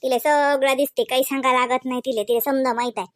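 A woman's voice: sits high, steady tone, fast talker, dry recording, studio quality, close to an everyday voice. A woman voicing a devout, caring, bossy village aunt in a comedy cartoon, with a homely Varhadi Marathi accent. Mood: reassuring. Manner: chatty, homely, instructing.

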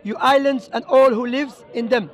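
A man with a very high voice argues insistently in a busy outdoor park, often repeating words and reading scripture aloud. He is picked up on a handheld camera close by, with a crowd murmuring around him. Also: steady tone, normal speed, highs cut off. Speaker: street preacher debating a passer-by. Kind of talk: street debate. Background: crowd chatter.

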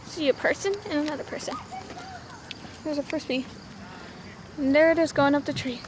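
Teen girl vlogger, high voice, rambling chatter, outdoor street noise behind. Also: fairly even intonation, moderate pace. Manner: chatty, rambling, giggly asides. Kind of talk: casual walking vlog. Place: outdoors on a suburban road. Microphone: phone camera held at arm's length. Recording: phone audio with clear outdoor noise.

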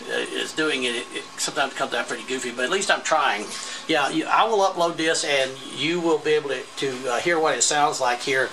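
A man talking casually as an amateur radio operator, halting as he thinks aloud while filming in his radio room. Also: mid-range voice, creaky. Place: indoor home radio room. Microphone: handheld camera mic. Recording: noisy handheld camera recording.

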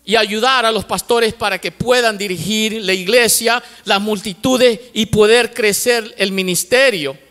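A man's voice: high-pitched, unhurried, hardly any pauses, bright, forceful tone. A middle-aged male pastor preaching fervently on a church stage into a handheld mic, repeating phrases for emphasis, a native English speaker who mixes in English words. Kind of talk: sermon. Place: large church stage with lights. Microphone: handheld microphone. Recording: long hall reverb from church auditorium.

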